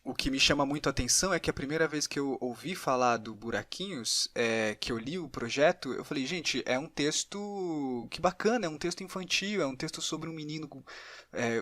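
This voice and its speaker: A man with a Brazilian Portuguese accent hosting a casual podcast interview, thinking aloud with halting, repeated words. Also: a little high, fairly bright tone, no echo.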